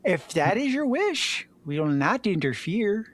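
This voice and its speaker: Cool imperial strategist, male performer, formal, measured phrasing with lively intonation, amateur space opera theatre. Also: high voice, exaggerated voice. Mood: considering. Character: calculating, coolly courteous imperial admiral.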